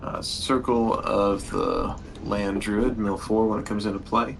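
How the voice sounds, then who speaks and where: A man with a fairly low, gravelly voice, calling his turns and joking with friends at a card game. A faint hiss sits behind him. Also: fairly even intonation, a little slow. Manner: joking, friendly table talk. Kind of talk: casual game-table chat.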